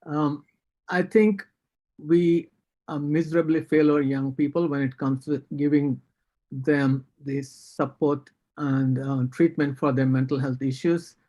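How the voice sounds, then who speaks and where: A man's voice: on the high side, level tone, measured pace, dark tone. A man with an Indian accent, speaking as a doctor on a panel discussion, earnest and critical, with frequent hesitations. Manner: earnest, critical, with many fillers. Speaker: psychiatrist panelist.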